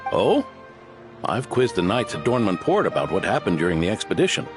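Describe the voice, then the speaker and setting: An actor playing a shrewd, teasing older knightly mentor in a video game, with wry, probing, folksy speech. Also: male voice, lowish voice, sing-song, a little fast. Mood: probing suspicion. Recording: music under the voice.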